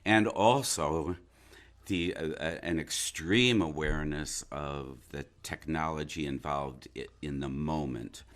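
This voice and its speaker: A man speaking reflectively as an interview guest, thoughtful and halting as he searches for his words. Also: a bass voice. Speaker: interview guest, stage actor.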